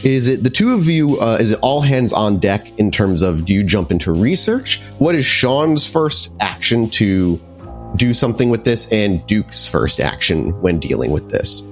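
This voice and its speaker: Low-voiced, expressive male game master. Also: normal speed, soft background music. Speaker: game master and podcast host. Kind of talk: narrating a tabletop roleplaying game.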